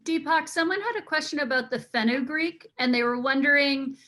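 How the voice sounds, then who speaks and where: A woman moderating a live online cooking event, passing viewer questions along plainly and checking back with a quick "right?". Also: high voice, heavy background noise.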